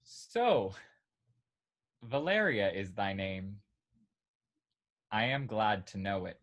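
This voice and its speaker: A man playing a gallant, self-mocking young gentleman suitor in a theatrical period comedy. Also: on the low side, animated, slow pace, full character voice. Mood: charmed.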